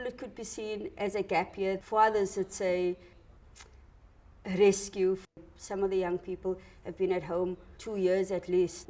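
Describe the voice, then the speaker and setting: Matter-of-fact woman, flat low voice. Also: a little hiss or hum. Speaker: program representative. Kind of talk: documentary interview.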